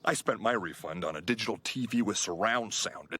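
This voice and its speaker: A man's voice: on the low side, fairly quick, sharp tone, slightly rough, no echo, clean studio sound. A man saying a sentence in a plain, straightforward way.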